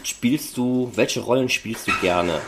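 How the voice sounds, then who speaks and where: A man's voice: medium pitch, slow, background noise. A man hosting a fan podcast, asking loosely phrased questions in an informal, chatty interview style.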